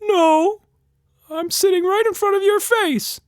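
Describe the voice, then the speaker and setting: Squeaky, tiny, silly little monster. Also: male voice, a little slow, no echo, clean studio sound. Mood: cheeky. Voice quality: squeaky falsetto. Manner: playful, whiny, contrary. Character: tiny cheeky shape-shifting pink monster, silly and shy.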